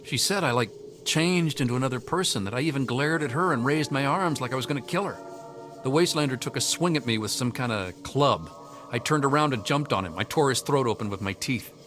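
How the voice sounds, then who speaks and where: A man's voice: mid-range voice, brisk, fairly bright tone, lightly acted. An actor playing a troubled, earnest young man haunted by hunger, confiding hesitantly as video game dialogue. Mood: haunted recollection. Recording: music behind the voice.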